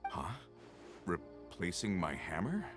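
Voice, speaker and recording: Low-voiced, plain-spoken working man. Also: very animated, takes it slowly, exaggerated voice. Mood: thoughtful. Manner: casual, down-to-earth. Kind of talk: video game voice acting. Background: background music.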